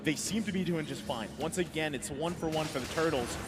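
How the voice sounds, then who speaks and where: A man's voice: fairly high pitch, a little fast, over music. A man calling an esports match play by play in a conversational way. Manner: conversational, leans on tag questions. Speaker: esports caster. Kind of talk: play-by-play commentary.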